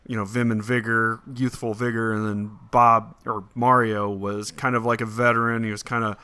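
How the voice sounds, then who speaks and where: A man's voice: on the low side, level tone, normal speed, pushed and bright, clear, resonant tone. Hesitant man chatting as podcast co-host. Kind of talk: casual chat about films.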